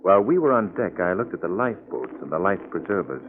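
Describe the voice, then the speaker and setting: An actor playing a well-spoken, courteous but firm gentleman hero, in crisp 1940s radio style. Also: sits low, sing-song, quick pace. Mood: wary, composed. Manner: crisp, formal, educated phrasing. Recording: tinny, band-limited 1940s radio recording.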